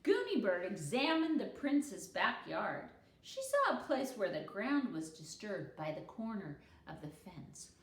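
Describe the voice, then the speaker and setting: A woman narrating a children's book aloud in an expressive read-aloud style. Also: medium pitch, unhurried, hardly any pauses, distant mic. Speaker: storybook reader. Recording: roomy echo with faint noise.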